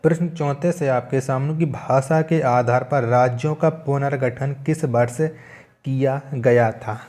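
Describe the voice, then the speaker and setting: A young adult man teaching an exam coaching lesson, reading each question, asking it back and giving the answer in a steady, repetitive manner. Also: medium pitch, unhurried. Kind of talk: quiz answer walkthrough lesson.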